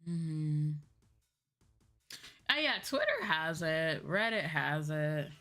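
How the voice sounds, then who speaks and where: Young woman chatting on stream, kinda low voice, takes her time, bit of music behind. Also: very animated, slow, a bit bright. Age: young adult. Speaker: VTuber streamer chatting with viewers. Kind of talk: casual livestream chat.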